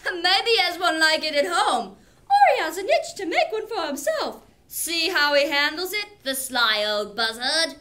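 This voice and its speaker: A woman's voice: very high voice, big pitch swings, measured pace, pushed and bright, pitched above the performer's own voice, heavily acted. A woman voicing disdainful, mocking young male suitors in a storybook reading. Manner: mocking, sarcastic. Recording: noisy classroom camera recording.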